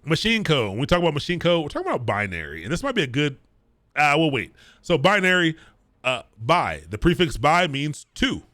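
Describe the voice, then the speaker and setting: Man, Baltimore accent, bootcamp coding instructor; bright, forceful delivery, dry close sound, fast and casual with lots of restarts. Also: a little high, big pitch swings, dry recording. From Baltimore area, Maryland. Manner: casual, talks quickly, repeats and restarts phrases; chats with viewers between points. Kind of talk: live-streamed lecture.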